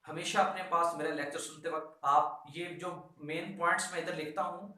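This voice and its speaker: A man's voice: a little high, steady tone, normal speed, sharp tone. A man with a Pakistani accent giving an instructional law lecture, emphatic, repeating key instructions and mixing in English terms. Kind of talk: instructional lecture for exam students. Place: echoey room with a whiteboard. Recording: very echoey room, distant mic.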